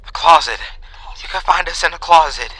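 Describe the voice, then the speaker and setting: Man voicing a whimpering, high-pitched terrified girl. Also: a little fast, sharp tone, husky, no room sound, low-quality recording. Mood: pleading, panicked. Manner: whimpering, pleading, urgent. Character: frightened whimpering ghostly girl victim on emergency call.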